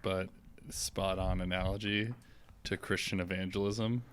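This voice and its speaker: A man's voice: low-pitched, little pitch movement, measured pace, background noise. A man, a guest on a podcast, making wry, dry remarks in casual chat.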